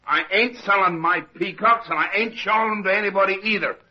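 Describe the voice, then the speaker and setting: An actor playing a stubborn, irritable country farmer in an old-time radio drama, curt and defensive with folksy, ungrammatical speech. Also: male voice, high-pitched, brisk. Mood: angry, defensive. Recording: muffled lo-fi old radio recording.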